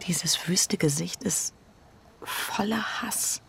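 An actress playing an anxious, guilt-ridden married woman in a German radio drama, speaking a tense, breathy inner monologue. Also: lowish voice, slow pace, fairly bright tone, a little hiss or hum, exaggerated voice. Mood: agitated. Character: anxious, guilt-ridden bourgeois adulterous wife. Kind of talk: German radio drama acting with first-person narration.

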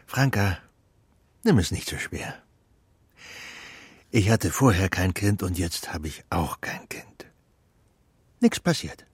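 An actor playing a poor old puppet maker in a children's radio play, gruff but kindly, with a husky voice and a muttering, exclaiming delivery. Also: male voice, deep voice, animated, slow, pauses often, no echo, exaggerated voice. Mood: grumbling, dismissive.

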